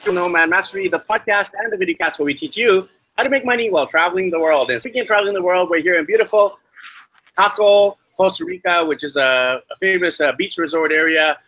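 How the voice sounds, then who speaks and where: A man hosting a travel podcast over a video call from a hostel, chatty and filling pauses with "uh". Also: high voice, bright, forceful tone, rough recording. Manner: chatty, many uh fillers. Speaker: podcast host. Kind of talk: podcast interview. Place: hostel with weak Wi-Fi.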